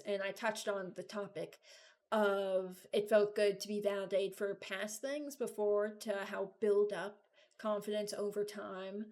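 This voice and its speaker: A woman's voice: medium pitch, a little mellow. A woman in her early twenties giving casual, unscripted commentary as a gaming video creator, rambling and thinking aloud.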